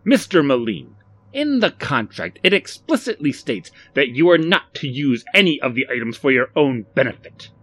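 A man voicing a small, sinister formal gentleman in an audiobook, measured and menacing. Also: lowish voice, very animated, slightly rough, exaggerated voice. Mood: stern. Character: Mister Contragust, little sinister formal gentleman, cold and exacting. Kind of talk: audiobook character acting.

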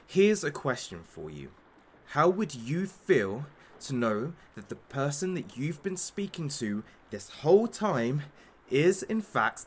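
An actor playing a menacing, mocking captor who interrogates with pompous sarcasm in an audio drama. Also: male voice, mid-range voice, slight background noise. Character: menacing, sneering, theatrical captor interrogating a young influencer. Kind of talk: audio drama villain monologue.

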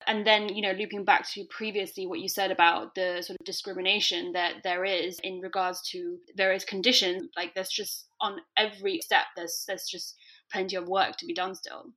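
A woman's voice: mid-range voice, level tone, normal speed, sharp tone, low-quality recording. A woman interviewer speaking casually and hesitantly, with fillers.

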